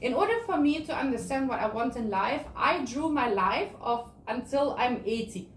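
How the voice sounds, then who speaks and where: High-voiced woman telling her story. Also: moderate pace, slight background noise. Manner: animated storytelling, acts out dialogue. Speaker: guest speaker. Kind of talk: motivational talk to an audience. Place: event space, sunset gathering. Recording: echoey room, distant mic.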